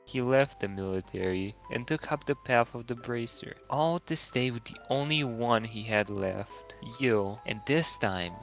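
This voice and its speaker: A man voicing a dignified, gentle elderly queen in a video game, speaking in a formal, measured way. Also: mid-range voice, vocal fry. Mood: sorrowful, solemn. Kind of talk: voiced video game playthrough. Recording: music behind the voice.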